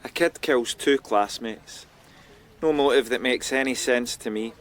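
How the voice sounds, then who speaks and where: An actor playing a dry, ruminative, world-weary older detective in a radio drama. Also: male voice, mid-range voice, a little slow, fairly bright tone, natural voice. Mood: wry, weary.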